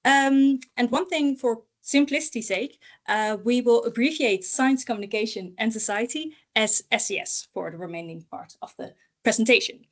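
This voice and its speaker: A woman's voice: a little high, normal speed. A young woman hosting an online university information session, friendly, with frequent fillers. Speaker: host, education officer. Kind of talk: online info session moderation. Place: university room with a small panel.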